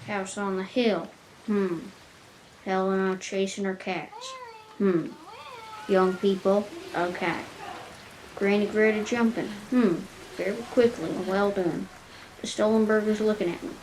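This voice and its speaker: A woman's voice: medium pitch, fairly even intonation, unhurried, darkish tone, natural voice, low-quality recording. A woman playing a watchful old man who mutters tersely to himself in a children's radio play. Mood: pondering, watchful. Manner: terse, pondering, humming to self. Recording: faint background noise.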